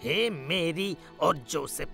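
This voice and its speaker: A man voices a reverent wise man in a cartoon. The voice is gravelly and fairly high, moving slowly and humbly over loud music. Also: full character voice. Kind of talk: cartoon Bible story dialogue.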